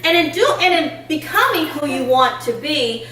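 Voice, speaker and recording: A woman's voice: high-pitched, normal speed, roomy sound, recorded from a distance. A middle-aged woman hosting a casual chat, telling stories with plenty of laughter. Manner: animated storytelling, laughs often. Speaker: podcast host.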